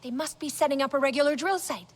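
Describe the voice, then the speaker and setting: A woman's voice: high voice, fast talker, close to an everyday voice. A woman playing an alert, anxious young resistance technician in a cinematic video game. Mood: worried.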